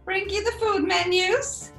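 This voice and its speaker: A woman's voice: very high-pitched, fairly even intonation, measured pace, creaky, a bit bright, reverberant, distant mic, soft background music, full character voice. A woman playing an offhand waitress in a radio comedy. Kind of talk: 1940s radio comedy acting.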